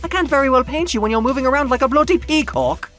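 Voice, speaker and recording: A man voicing an exasperated, sharp-tongued male painter in a theatrical, sarcastic way for an audio drama. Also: extremely high pitch, fast talker, faint music behind, pitched above the performer's own voice.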